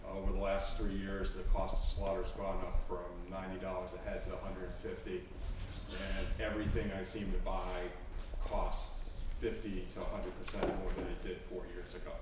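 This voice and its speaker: A man's voice: sits low, completely flat delivery, normal speed. A man who farms speaking up from the audience at a town forum, plain and matter-of-fact. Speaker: farmer. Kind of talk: audience remarks. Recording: echoey hall from far off, noisy.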